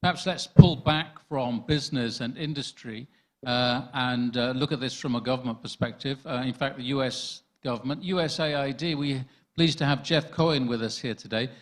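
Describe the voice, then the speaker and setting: A man's voice: mid-range voice, normal speed, fairly bright tone. Courteous male panel moderator. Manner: courteous, hesitant with repeated words.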